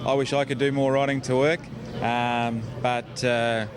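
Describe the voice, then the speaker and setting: A man with an Australian accent, a local councillor, answering interview questions in halting, measured official phrasing. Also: mid-range voice, flat delivery. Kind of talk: on-location interview. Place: outdoor city mall. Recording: outdoor mall with busy crowd noise.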